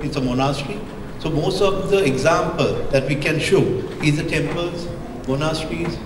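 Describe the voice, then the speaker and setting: A middle-aged Sri Lankan man speaks slightly high-pitched into a handheld mic, pausing often and filling gaps with "uh" as he presents slides. The hall rings with long reverb. A steady hum of room noise sits under his voice. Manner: hesitant, searching for words, frequent fillers. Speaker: architect giving a lecture. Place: lecture hall, indoors. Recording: echoey hall with background hum.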